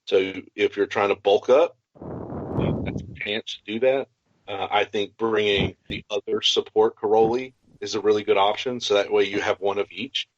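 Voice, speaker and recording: A man's voice: fairly low pitch, fairly even intonation, moderate pace, muffled recording. A man co-hosting a podcast, explaining tabletop gaming options enthusiastically in casual chat. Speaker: podcast co-host.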